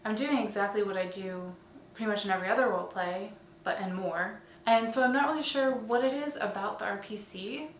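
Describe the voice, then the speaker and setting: Woman, casual show host, thinking-aloud delivery, echoey room, faint background noise. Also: mid-range voice. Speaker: host of a weekly online show. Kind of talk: casual scripted-outline vlog commentary. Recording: roomy, distant mic, faint noise.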